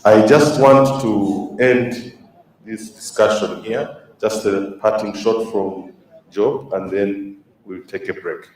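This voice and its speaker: A man moderating a health conference session, halting with many fillers. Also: on the low side, a little hiss or hum. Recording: echoey hall from far off, faint ambience.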